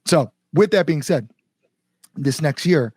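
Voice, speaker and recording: An American man chatting casually as an interview guest, telling stories in an animated, informal way. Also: fairly high pitch, takes it slowly, breathy edge, no echo, studio quality. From Chicago area, United States. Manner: animated storytelling, quotes people, frequent "like", informal swearing. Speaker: band singer, interview guest. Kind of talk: casual podcast conversation.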